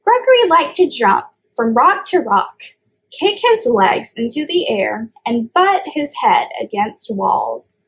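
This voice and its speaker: A woman speaks in a high, very animated voice at a slow pace. She leads story time for young children, putting deliberate emphasis on key words. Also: creaky, low-quality recording.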